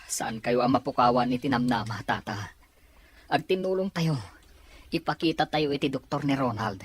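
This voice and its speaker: A man with a Filipino accent playing a sympathetic younger man consoling an elder in an Ilocano audio drama, in a husky voice. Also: medium pitch, moderate pace, no echo, lightly acted. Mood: concerned, consoling.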